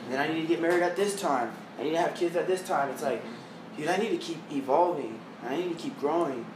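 A man's voice: on the high side, fast. A young man in his twenties chatting casually, rambling loosely with lots of "like" and casual swearing. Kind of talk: casual chat. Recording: roomy, distant mic with background noise.

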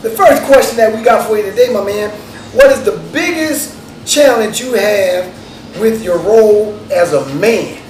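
A man's voice: high voice, normal speed, a little mellow. A man co-hosting a podcast panel, speaking in an easygoing, friendly, slangy way. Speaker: podcast co-host. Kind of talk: casual panel discussion. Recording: echoey room, noisy, mic at a distance.

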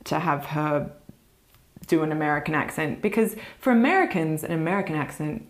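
A woman actress talking as a podcast guest in casual chat, hesitant with fillers and quick to laugh. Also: deep voice, expressive.

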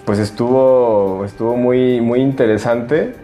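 A man's voice: mid-range voice, fairly even intonation, a little slow, mellow, faint music behind. A man with a Mexican accent co-hosting a podcast, telling anecdotes in casual conversation. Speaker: podcast co-host.

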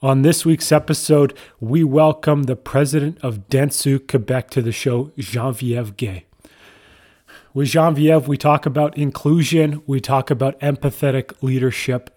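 A man's voice: medium pitch, fairly even intonation, moderate pace, darkish tone. A man co-hosting a podcast, reading an enthusiastic intro and chatting casually with guests, from Canada. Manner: enthusiastic, repetitive emphasis. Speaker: podcast co-host.

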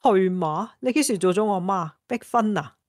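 A woman's voice: mid-range voice, expressive, normal speed, a little mellow, no room sound, clean studio sound, natural voice. An actress playing a sassy, independent single woman in her thirties in an audio drama, teasing and sarcastic. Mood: sarcastic, carefree. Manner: playful, sarcastic retorts. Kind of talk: Cantonese audio drama acting.

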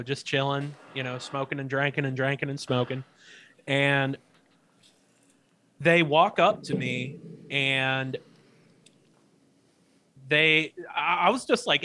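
A young man with a bright, crisp voice tells a story in a loose, casual chat. He swears freely, laughs often and keeps tripping over his words. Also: mid-range voice. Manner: profane, loose storytelling with many fillers and restarts. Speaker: podcast co-host.

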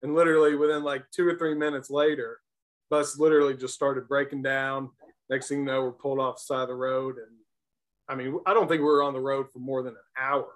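A man's voice: medium pitch, flat delivery, brisk. A man speaking casually as a podcast guest, recounting memories in a conversational way. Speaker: podcast guest, former baseball player. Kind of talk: casual storytelling.

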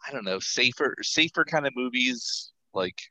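Wry, lively-voiced podcast co-host. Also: medium pitch, moderate pace, no echo, poor audio. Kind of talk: casual movie review chat.

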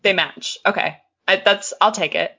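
Brief: woman co-host, bright tone, laughs a lot, eager, slightly lo-fi. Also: medium pitch, normal speed, vocal fry. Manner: laughs often, swears casually, enthusiastic reactions. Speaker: podcast co-host. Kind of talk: casual podcast chat.

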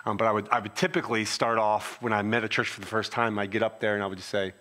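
A man's voice: fairly low pitch, fairly even intonation, fast talker, pushed and bright. A middle-aged man from Philadelphia with a Philadelphia accent, a pastor giving a conversational sermon introduction with frequent restarts. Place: church sanctuary.

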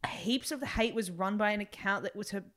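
A woman's voice: medium pitch, brisk, a crowd in the background. A woman hosting a casual podcast, asking the guest long, hesitant questions with many restarts. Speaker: podcast host.

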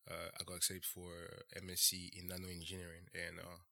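A young man from France with a French accent, a guest telling his story in an interview and pausing often on "uh" and "um". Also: low voice, monotone, creaky, pushed and bright. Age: young adult. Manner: measured, pauses with frequent "uh" and "um". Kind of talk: casual interview talk.

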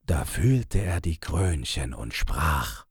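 Casting: male fairy-tale narrator, very low register, deliberate scripted storytelling at a slow pace. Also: sing-song, husky, no echo, studio quality.

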